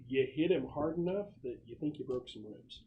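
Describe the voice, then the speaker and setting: A man's voice: mid-range voice, animated, normal speed, narrow, tinny audio, a crowd in the background. A man acting as game master, talking casually through rules and describing what happens, in a noisy recording. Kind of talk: casual tabletop game talk and rules explanation. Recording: clearly noisy room recording with clicks.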